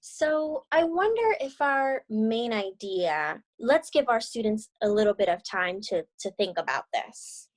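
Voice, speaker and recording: A woman's voice: on the high side, very animated, measured pace, hardly any pauses. A young woman teaching and reading aloud to children over a video call. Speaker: teacher and story reader. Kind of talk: children's read-aloud and lesson. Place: indoor room on a video call.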